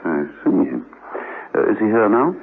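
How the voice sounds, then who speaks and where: An actor playing an urbane, unflappable gentleman agent in a spy radio drama, dryly understated. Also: lowish voice, sing-song, measured pace. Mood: polite, probing. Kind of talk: 1960s-style spy radio drama acting. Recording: tinny, noisy old radio recording.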